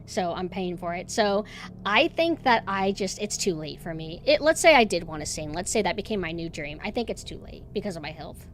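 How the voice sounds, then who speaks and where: A woman streamer with a monotone voice, chatting casually and rambling with lots of fillers and restarts. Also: fairly low pitch, brisk, a little hiss or hum. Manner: rambling, self-deprecating, many fillers and restarts. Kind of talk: casual chat.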